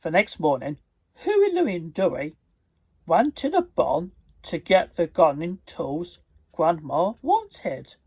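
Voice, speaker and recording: Halting, husky storybook reader with a lively pitch. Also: dry recording. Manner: halting, stumbling, careful phrasing. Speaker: storybook reader and video host. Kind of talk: read-aloud storybook narration.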